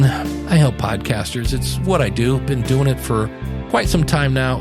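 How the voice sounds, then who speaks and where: A man hosting a podcast in a casual solo monologue, talking directly to his listeners in a conversational way. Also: mid-range voice. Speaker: podcast host and podcasting coach.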